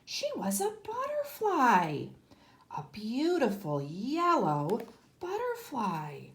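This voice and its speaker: A woman reading a children's story aloud as a kindergarten teacher, deliberate and emphatic, speaking to young children. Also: a little high, wildly swooping pitch.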